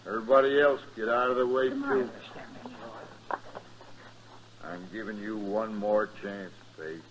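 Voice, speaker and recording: A man's voice: fairly low pitch, lively intonation, normal speed, natural voice. An American man playing a menacing, mean-tempered gunslinger bully in a 1950s radio western. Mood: cold threat. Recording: muffled, faintly noisy old radio.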